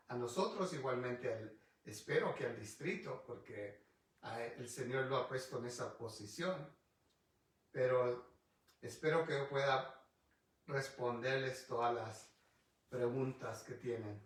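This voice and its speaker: A man leads a Bible study in a slow, careful way, often stopping to find a word and restarting. Spanish is not his first language. He sounds set back from the microphone in a strongly echoing room. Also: mid-range voice, a bit bright. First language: English. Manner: halting, restarts often, searches for words. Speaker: pastor. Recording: distant mic in a very echoey room.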